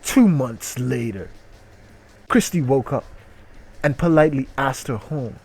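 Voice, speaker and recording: Slow, mock-serious man reading aloud. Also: medium pitch, expressive, husky. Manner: mock-serious narration broken by loud, incredulous outbursts. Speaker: host reading stories. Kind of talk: comic dramatic reading of an erotic short story.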